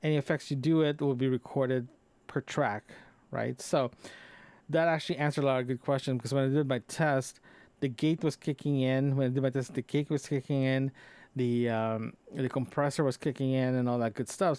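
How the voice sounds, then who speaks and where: A man with a medium-pitched voice talks off the cuff, as in a personal podcast diary. He rambles and halts, circling back to repeat or rephrase his words. The sound is close, compressed and very dry, with no room echo. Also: darkish tone. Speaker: podcaster recording a personal audio log. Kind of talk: casual unscripted solo chat. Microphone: close microphone into a recorder, compressed.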